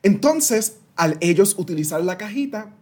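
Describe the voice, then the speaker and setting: A man's voice: high-pitched, animated, normal speed, darkish tone, breathy edge. A Puerto Rican man in his thirties, a guest consultant explaining ideas animatedly with everyday analogies and some English words mixed in. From Puerto Rico. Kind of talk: interview explanation.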